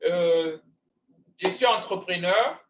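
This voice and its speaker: A man with a high voice speaks over a video call, pausing often with fillers and restarting his sentences. He sits well back from the microphone in a roomy, echoing space. A faint noise hums underneath. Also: far from the mic. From Cameroon. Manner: hesitant, many fillers and restarts. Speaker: guest coach. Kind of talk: online talk on leadership.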